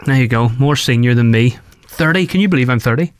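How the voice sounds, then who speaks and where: Tired, jokey radio host. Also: male voice, mid-range voice, animated, fast talker, clean tone, dry recording, clean studio sound. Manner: chatty and jokey, a bit tired. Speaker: radio breakfast show host. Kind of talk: casual podcast chat.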